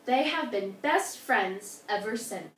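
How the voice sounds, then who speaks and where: A woman narrating a folktale in an expressive, dramatic storybook style with emphatic delivery. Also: mid-range voice, slow. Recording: roomy, distant mic, faint noise.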